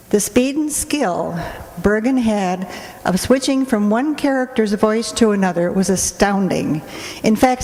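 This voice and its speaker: A woman's voice: mid-range voice, mellow. A woman presenter reading a scripted introduction to a radio play. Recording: echoey hall, long reverb.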